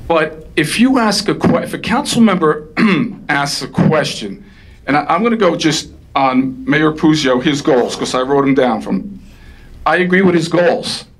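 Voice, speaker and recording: A man speaking in a council meeting discussion, rapid and rambling, conversational. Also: fairly high pitch, lively intonation, low-quality recording. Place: township council meeting room.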